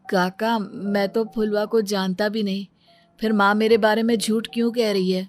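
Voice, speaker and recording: A woman's voice: mid-range voice, flat delivery, normal speed, a little mellow, faint music behind, natural voice. A woman voicing a timid, obedient, naive grown son who speaks meekly in a cartoon story. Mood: helpless. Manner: meek, hesitant. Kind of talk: dramatized cartoon story dialogue.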